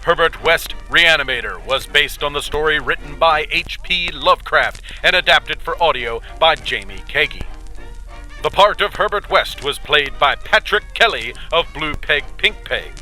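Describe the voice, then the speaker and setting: A man's voice: a little high, animated, moderate pace, pushed and bright, low-quality recording. A man reading scripted credits as the announcer of an audio drama. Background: faint music.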